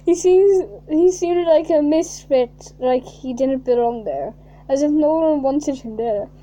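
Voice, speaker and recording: A woman reading a fan fiction aloud in a mocking way, reading flatly and then bursting into shrieking, laughing asides. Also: sits high, unhurried, dull audio, a little hiss or hum.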